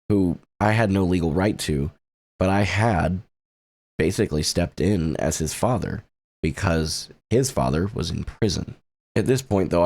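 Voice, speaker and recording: Male, late twenties, very low voice; earnest personal testimony, reflective with light self-mocking humor. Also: creaky, a little mellow. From United States. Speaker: streamer sharing his testimony.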